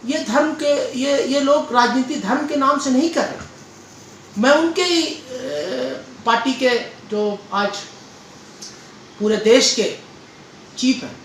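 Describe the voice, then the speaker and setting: Echoey, deliberate male political speaker. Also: very high-pitched, fairly bright tone. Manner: deliberate, oratorical, repeating phrases. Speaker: political leader being interviewed. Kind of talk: political interview answer. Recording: echoey room with faint background noise.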